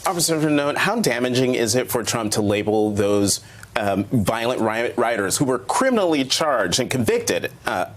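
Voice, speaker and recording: A male news show host conducting a broadcast interview in a measured, earnest way. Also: mid-range voice, big pitch swings.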